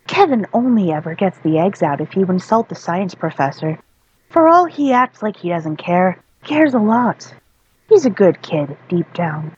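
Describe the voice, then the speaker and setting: A woman's voice: fairly low pitch, sing-song, moderate pace, a little mellow, highs cut off, slight background noise, pitched above the performer's own voice. A woman voicing a friendly, apologetic schoolteacher with an effusive, gushing manner in a story reading. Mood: knowing, kindly.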